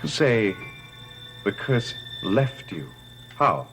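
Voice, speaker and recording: A man's voice: on the low side, sing-song, extremely slow, over music, heavily acted. A man acting a guarded, intense, cursed heir in a gothic television soap, with an earnest, questioning, dramatic delivery. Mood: troubled, probing.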